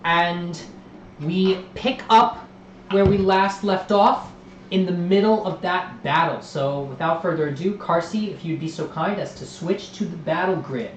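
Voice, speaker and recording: A man hosting a live tabletop role-playing stream as game master, moving from an upbeat welcome into a steady recap of the story. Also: sits high, a little slow, reverberant, dull audio, noisy background. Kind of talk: livestream tabletop game narration.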